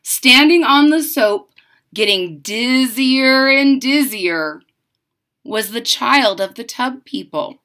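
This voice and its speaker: A woman reads a picture book to children in an expressive way. Her voice is bright and moves widely in pitch. She takes her time, stressing words dramatically and adding chatty asides. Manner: deliberate, dramatic emphasis. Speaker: storyteller reading a picture book aloud. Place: room at home.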